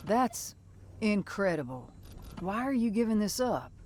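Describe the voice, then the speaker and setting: A woman's voice: mid-range voice, big pitch swings, a little slow, a little mellow, a little hiss or hum. An actress playing a tough, plainspoken American female bounty hunter in a video game. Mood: friendly. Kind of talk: video game cutscene acting.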